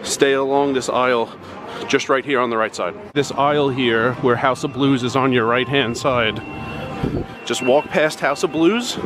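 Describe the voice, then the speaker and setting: A man in his thirties giving casual step-by-step walking directions, filmed on a handheld camera held at arm's length. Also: mid-range voice. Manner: plain, instructive, pointing the way while walking. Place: indoor casino halls and an outdoor sidewalk by a highway. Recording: traffic noise behind.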